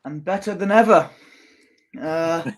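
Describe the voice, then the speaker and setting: A young man co-commentating on a football match as a fan, excitable and quick to laugh, stretching out his exclamations. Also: a little high, rough recording. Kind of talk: casual watchalong co-commentary.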